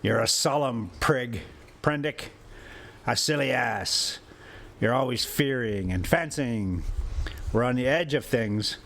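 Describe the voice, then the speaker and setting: A man reading a story aloud, voicing a drunken, reckless, bitter man who taunts and calls out loudly. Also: medium pitch, slow, creaky. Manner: loud, taunting exclamations. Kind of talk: storybook character voice in a read-aloud.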